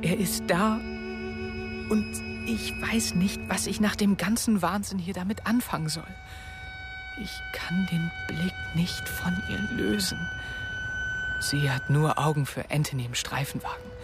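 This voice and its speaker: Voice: mid-range voice, unhurried, natural voice. A performer playing an awkward, lovestruck teenage narrator, self-mocking, in a first-person radio drama. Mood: tense narration. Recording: music under the voice. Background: prominent music.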